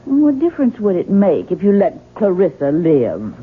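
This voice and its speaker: An actress playing a gentle, anxious young wife in a 1970s radio drama, coaxing and emotional. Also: female voice, lowish voice, huge pitch swings, normal speed. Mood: worried, pleading. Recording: muffled, faintly noisy radio broadcast.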